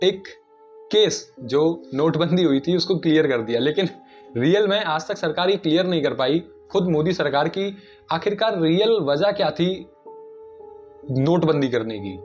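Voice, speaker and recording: A man giving casual, argumentative explanatory commentary, putting rhetorical questions to his listeners. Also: on the high side. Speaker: video commentator. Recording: dull lo-fi audio, music behind. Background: background music.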